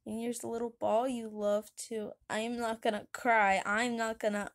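A woman voicing a loyal, soft-hearted, tearful police chief who pleads and stammers in a children's comic story. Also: fairly high pitch, flat delivery, moderate pace, close to an everyday voice. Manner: pleading, stammering, trying to be brave. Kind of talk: children's comic read aloud.

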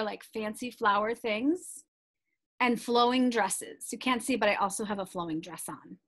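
A middle-aged woman with a somewhat high voice presents a read-aloud for young readers. She is expressive and deliberate with the text, and slips into chatty asides to explain it to the children.

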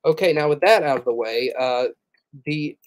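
A man's voice: a little high, moderate pace, no echo. Earnest man teaching in a dry room. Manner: earnest, explanatory, frequent "uh" fillers. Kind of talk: livestream teaching, reading quotes aloud.

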